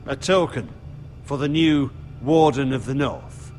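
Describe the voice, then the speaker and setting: An actor playing a proud, loyal noble retainer in a fantasy game, speaking with urgency. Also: male voice, medium pitch, measured pace, darkish tone, faint music behind, natural voice. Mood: proud, urgent. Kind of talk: dramatic fantasy game acting.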